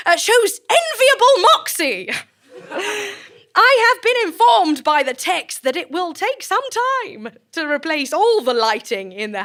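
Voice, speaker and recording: A woman playing a formal, composed director of a sinister research facility, giving measured, officious speeches to staff in an audio drama. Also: high voice, fairly bright tone, exaggerated voice.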